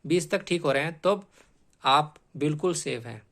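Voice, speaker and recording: A man's voice: a little high, animated, normal speed, gravelly, a bit bright. A man explaining exam strategy like a coaching teacher, repeating key points emphatically and often checking in with short tag questions.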